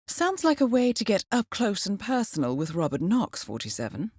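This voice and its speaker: An actress playing a composed, dryly witty intelligence handler in a video game, with a formal, precise, understated way of briefing. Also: female voice, medium pitch, big pitch swings, moderate pace, a little mellow, breathy edge, dry recording, studio quality. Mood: brisk, composed.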